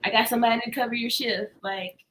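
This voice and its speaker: A woman's voice: on the high side, fairly even intonation, fast talker, a bit bright. An American woman co-hosting a book discussion in casual conversation, with informal, slangy phrasing. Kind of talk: casual chat. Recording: noticeable background noise.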